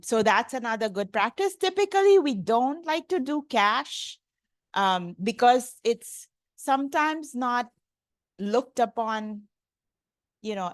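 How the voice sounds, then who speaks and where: A woman from India lectures at a conference in a chatty, loose way, scattering "like" and "you know" through her sentences. Her voice sits a little high. The sound is dry and close. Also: dry recording. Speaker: professor lecturing.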